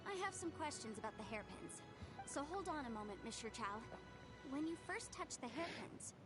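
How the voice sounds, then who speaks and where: A woman's voice: on the high side, very animated, moderate pace. A woman voicing a young female legal advisor in a video game, speaking in a precise, formal and analytical way. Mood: thoughtful. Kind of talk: video game character voice acting. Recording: game music behind.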